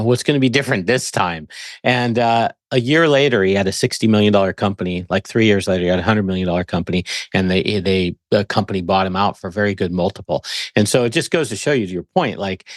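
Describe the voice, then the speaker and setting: A man's voice: on the low side, no room sound, clean studio sound. A man hosting a business podcast, friendly and affirming in casual conversation. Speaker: podcast host. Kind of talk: casual interview chat.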